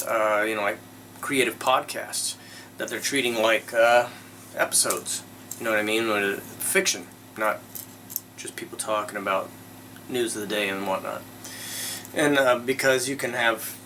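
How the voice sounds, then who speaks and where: A man hosting with casual commentary, talking in an informal, halting way. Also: on the low side, sharp tone, a little hiss or hum, rough recording. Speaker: host.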